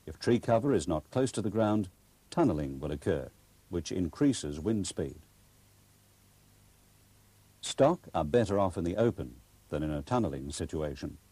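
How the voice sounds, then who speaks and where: A male narrator reads an instructional script formally and carefully. His low, husky voice moves expressively, in a dry, close recording. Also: expressive, warm tone, dry recording. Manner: formal, measured explanation.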